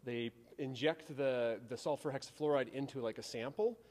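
A man's voice: mid-range voice, moderate pace, a little mellow. Enthusiastic man in an echoey hall. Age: 30s. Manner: enthusiastic, emphatic. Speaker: science teacher. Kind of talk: informal science explanation in an interview. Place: large school science classroom. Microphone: handheld reporter microphone. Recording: long echo of a large room.

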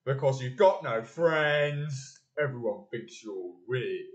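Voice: medium pitch, expressive, takes it slowly, muffled recording. An actor playing a dim, mocking sidekick bully in an audio play. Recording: echoey room.

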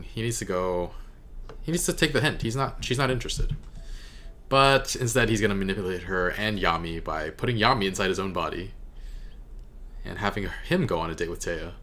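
A man's voice: fairly low pitch, fairly bright tone. A young man giving casual reaction commentary as the host, recorded indoors at a desk microphone. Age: young adult. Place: indoor room at home. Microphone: desk microphone close in front.

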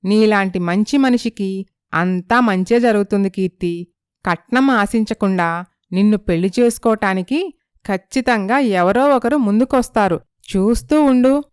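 A woman playing a sympathetic, gently persuasive young female friend in an animated moral story. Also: medium pitch, unhurried, clear, resonant tone, no echo, clean studio sound, close to an everyday voice.

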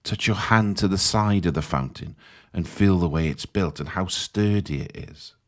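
Deep low voiced guy doing guided meditation, soothing and measured, walks you thru it step by step.

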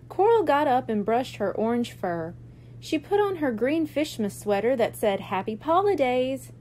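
A woman reading a children's book aloud as a library storyteller, expressive and clearly phrased. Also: on the high side, slight background noise.

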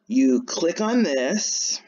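A man's voice: on the high side, animated, drawn-out words, a little mellow, muffled recording. A man hosting his own channel, talking casually through a screen-share walkthrough in a chatty, rambling way. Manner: chatty and rambling, frequent "um" and "anyways". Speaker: channel host.

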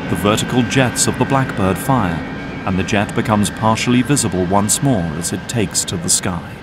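A man's voice: lowish voice, normal speed, heavy background noise. A man delivering scripted narration for an audio drama, as its narrator.

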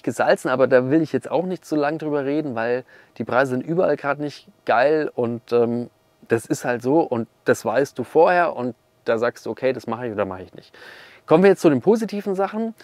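A man in his thirties reviewing a campsite in a relaxed, chatty way, talking from inside his camper van with a clip-on mic. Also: mid-range voice, normal speed, no room sound. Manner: chatty, animated, gesturing with hands. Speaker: camping vlogger. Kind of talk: casual review vlog with voice-over. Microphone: small clip-on mic.

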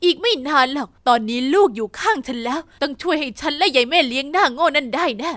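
A woman's voice: sits high, expressive, quick pace, fairly bright tone, no room sound, clean studio sound. Woman doing a scheming, selfish mother. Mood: sly.

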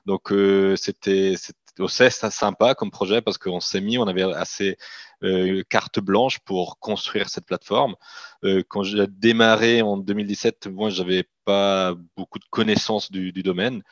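A man's voice: sits low, steady tone, unhurried, no room sound, muffled recording. A man in his thirties from Italian-speaking Switzerland, a native Italian speaker with a Swiss Italian accent, talking hesitantly as a guest over a video call. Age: 30s. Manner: hesitant, many restarts and fillers. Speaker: company CEO guest. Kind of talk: casual interview talk.

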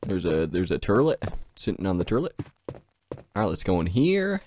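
A man's voice: deep voice, big pitch swings, moderate pace, a little mellow, a little hiss or hum. A man giving casual let's play commentary, crude and exclamatory, swearing freely. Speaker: gaming video host.

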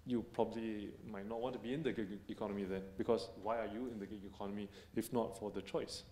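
Man in his thirties, panelist, low-pitched and measured, in a roomy hall. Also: steady tone, dark tone, a little hiss or hum. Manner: measured, argumentative, restates points. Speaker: company panelist. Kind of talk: panel discussion. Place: conference stage in a hall. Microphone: handheld mic. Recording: echoey hall.

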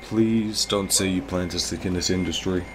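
Male actor as a stern drug dealer, audio drama; deep, dry, dismissive. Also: fairly even intonation, normal speed, noisy background, close to an everyday voice. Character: Buck, gruff no-nonsense male drug dealer.

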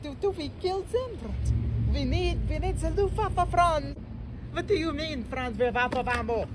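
A man's voice: extremely high pitch, expressive, unhurried. A young man playing a desperate, fanatical German soldier in an amateur war parody, stammering and melodramatic. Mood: panicked. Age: young adult. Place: outdoors on an urban vacant lot. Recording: noisy outdoor recording with traffic.

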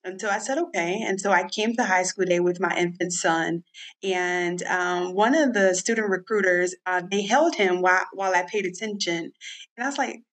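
A woman's voice: lowish voice, moderate pace, fairly bright tone. A woman alumna on a video call panel, telling her story in a candid, chatty, self-mocking way.

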